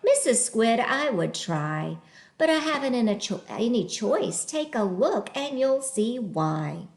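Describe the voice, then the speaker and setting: A woman's voice: on the high side, big pitch swings, takes it slowly, full character voice. Woman doing a glum, mopey storybook fish. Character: glum sulky male fish, gloomy and mopey.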